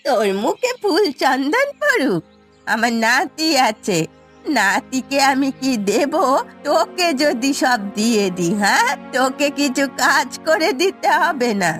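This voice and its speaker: A woman's voice: high voice, very animated, unhurried, a bit bright, soft background music, full character voice. A woman voicing a querulous, suspicious old village grandmother who complains and scolds in a cartoon. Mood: indignant. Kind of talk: Bengali cartoon voice acting.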